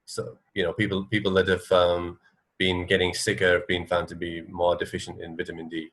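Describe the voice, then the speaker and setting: A man's voice: low voice, completely flat delivery, a bit bright, husky, poor audio. A man co-hosting a casual radio discussion, choosing his words tentatively and hesitantly. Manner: hesitant, tentative, measured wording. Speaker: radio talk co-host.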